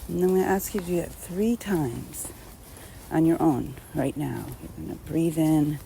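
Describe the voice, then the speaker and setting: Female meditation guide: deeply mellow, relaxed tone, gentle and unhurried, faint background noise. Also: gravelly, poor audio. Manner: gentle, unhurried, with long pauses between short phrases. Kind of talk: guided meditation, unscripted spoken instruction.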